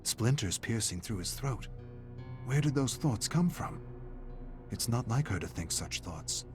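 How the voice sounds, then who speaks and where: A man reading dramatic scripted narration of a dark story in a measured, suspenseful way. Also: mid-range voice, expressive, over music.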